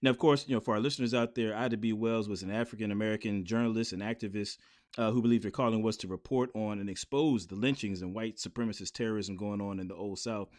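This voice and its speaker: A man's voice: lowish voice, fast talker, darkish tone. A man hosting a podcast, reading a scripted opening and then chatting casually and easily with his guest. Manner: friendly, easygoing, laughs along. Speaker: podcast host.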